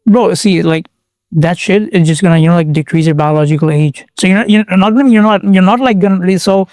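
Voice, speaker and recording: A man's voice: high-pitched, brisk, dry recording. A young man from Bangladesh, chatting casually as a guest with lots of fillers and restarts. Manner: relaxed slangy talk full of fillers and restarts. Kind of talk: casual chat.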